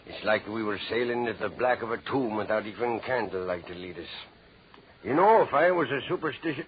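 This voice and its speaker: An actor playing an uneasy, seasoned sailor with an expressive manner in a 1940s radio drama. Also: male voice, on the low side, a little fast, close to an everyday voice. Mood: uneasy musing. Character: seasoned sailor, superstitious, uneasy, concerned shipmate. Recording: muffled, clearly noisy 1940s radio recording.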